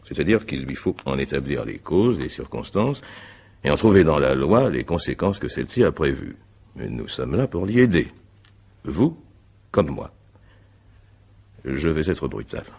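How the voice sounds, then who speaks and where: A man playing an examining magistrate in a radio mystery, courteous but insistent, with formal, deliberate phrasing. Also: extremely low pitch, very animated, creaky, a little mellow, slightly rough, exaggerated voice. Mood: grave, insistent. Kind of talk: French radio mystery drama acting.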